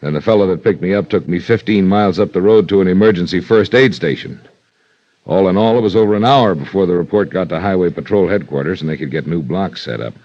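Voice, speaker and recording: A man acting a plain-spoken, rueful highway police lieutenant in a 1950s radio drama, on a dull vintage recording. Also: fast. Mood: explanatory, steady.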